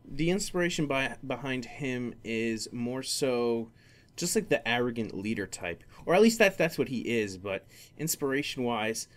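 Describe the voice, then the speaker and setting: A man's voice: mid-range voice, lively intonation, moderate pace, a bit bright. A young man hosting a solo gaming podcast, rambling casually with many fillers and restarts. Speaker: podcast host.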